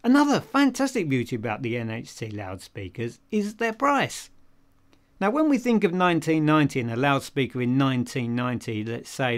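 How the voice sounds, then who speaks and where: Older British man with lively, swooping intonation. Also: mid-range voice. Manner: conversational, emphatic, poses and answers own questions. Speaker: audio dealer and video reviewer. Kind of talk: casual product review to camera. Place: small home office room, indoors.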